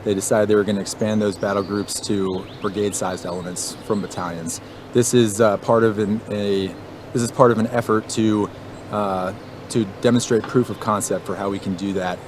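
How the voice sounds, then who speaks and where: A man explaining things in an interview like a military officer, haltingly, pausing to find his words. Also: on the low side, steady tone. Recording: outdoor noise, vehicles behind.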